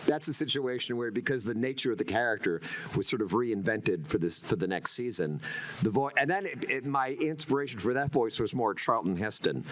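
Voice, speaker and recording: Male voice actor, chill and self-deprecating storyteller, lively intonation, faint hiss behind. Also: medium pitch, fairly quick. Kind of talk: animated anecdote in an interview.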